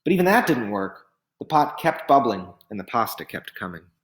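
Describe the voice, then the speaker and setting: A man reading a picture book aloud in an expressive, dramatic storytelling style. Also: medium pitch, moderate pace, slight background noise.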